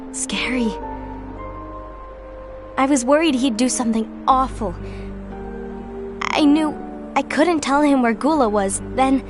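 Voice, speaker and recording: An actress playing an earnest, anxious young woman who leads a union in a fantasy game. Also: high voice. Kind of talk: animated fantasy game cutscene acting. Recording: music behind the voice.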